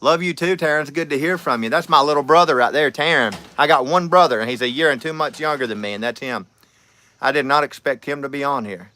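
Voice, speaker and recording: A man's voice: on the high side, fast talker, sharp tone. A man, chatting casually on a livestream and reading out comments, rambling and friendly with lots of fillers and restarts. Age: 30s. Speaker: livestream host.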